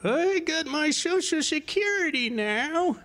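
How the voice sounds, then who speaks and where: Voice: sits high, animated, slow pace, a bit bright, a little hiss or hum, exaggerated voice. A performer voicing a folksy, exclamatory old retiree in an old-time radio skit. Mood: pleased, folksy. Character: folksy old retiree pleased with Social Security. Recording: live amphitheater stage show with music.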